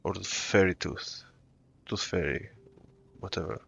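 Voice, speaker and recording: An actor playing a sinister, dim, blunt villain who gloats with menace in a video game, the sound slightly muffled. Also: male voice, low voice, a little slow, vocal fry, a bit bright, slightly rough, full character voice. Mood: gloating.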